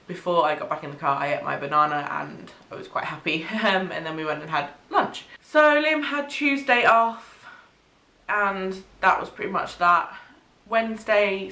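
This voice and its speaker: Sniffly chatty young woman, low voice. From United Kingdom. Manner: chatty, rambling, with frequent ums and soft laughs. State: sniffly with a cold. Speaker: vlogger. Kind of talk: casual pregnancy update vlog. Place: small bedroom or home studio, indoors. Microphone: camera mic, head-and-shoulders framing. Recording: echoey room.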